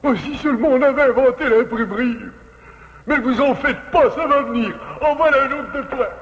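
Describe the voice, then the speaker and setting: A man's voice: very high voice, brisk, in a large hall, highs cut off, slight background noise. A man voicing an anxious, fawning forest-animal henchman in a French cartoon, wordy and theatrical. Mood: eager, grumbling. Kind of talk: French-dubbed cartoon acting.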